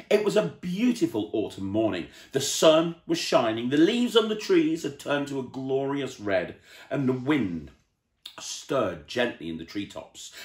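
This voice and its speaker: Male children's entertainer: storybook narration, highly varied intonation, audible room echo. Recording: roomy, echoey indoor sound.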